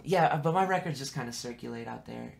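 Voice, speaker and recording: A man from San Francisco chatting casually as a musician guest on a podcast, in a laid-back, slangy way with free swearing and a gravelly voice. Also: mid-range voice, fast, crowd noise. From San Francisco, United States. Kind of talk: casual podcast interview chat.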